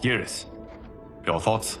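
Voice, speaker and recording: A man voicing a solemn, commanding god and protector, speaking in a measured, formal way for a video game cutscene. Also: over music, close to an everyday voice. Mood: questioning.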